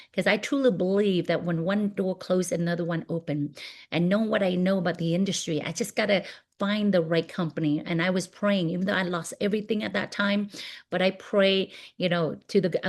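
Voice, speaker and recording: Woman, 40s, lowish voice; sincere motivational speaker, rambling fillers, video-call sound. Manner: earnest, full of "you know" fillers. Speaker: network marketing leader. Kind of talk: motivational business presentation.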